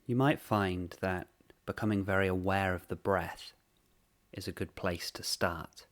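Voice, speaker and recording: A man leading a guided meditation in a gentle, unhurried way, speaking in short phrases with long pauses. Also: sits low, fairly even intonation.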